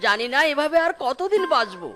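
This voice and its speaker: Beggar husband for a cartoon melodrama, voice actor performing: high voice, pleading, mournful, self-blaming. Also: big pitch swings, a little fast, pushed and bright, soft background music, full character voice. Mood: despairing. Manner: pleading, lamenting. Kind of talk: melodramatic cartoon story dialogue.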